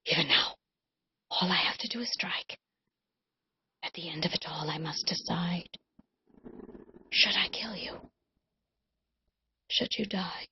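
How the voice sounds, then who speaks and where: A grieving young elf warrior woman, as a woman reader puts her on, torn between vengeance and doubt. She speaks low and husky, often dropping to a whisper. The recording is dry and dull. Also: fairly even intonation, moderate pace, no echo.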